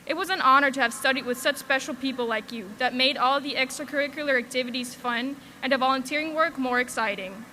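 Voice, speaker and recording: Young woman from Switzerland, valedictorian, earnest and wry, high and bright. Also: fast talker, few pauses, slight background noise, rough recording. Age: young adult. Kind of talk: graduation speech.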